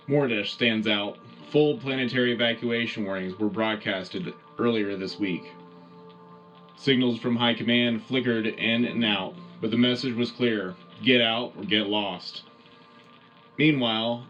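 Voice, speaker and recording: A man's voice: medium pitch, fairly bright tone, dull audio, natural voice. A man playing an earnest wartime radio host, delivering scripted war news in a dramatic, punchy, rallying way.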